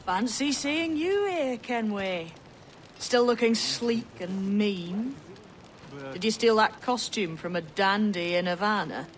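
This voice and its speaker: A woman acting as a youthful boy pirate in a video game, shrewd and teasing. The voice is knowing and medium-pitched, over faint ambient noise. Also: slow pace, close to an everyday voice.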